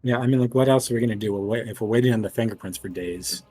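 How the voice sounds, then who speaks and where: Male tabletop player, slightly deep voice, loose conversational delivery. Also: rapid-fire, a little mellow, breathy edge, no echo. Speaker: player in a tabletop role-playing game. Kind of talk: casual chat.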